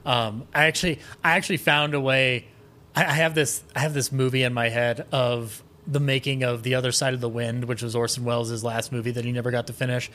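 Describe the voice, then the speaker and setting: A man's voice: mid-range voice, little pitch movement, a little fast, pushed and bright. A man chatting casually as a podcast guest, rambling enthusiastically and voicing his own thoughts aloud. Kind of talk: casual chat.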